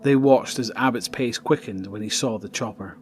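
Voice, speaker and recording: A man's voice: mid-range voice, moderate pace, over music. A man reading scripted narration for an audio drama as its narrator.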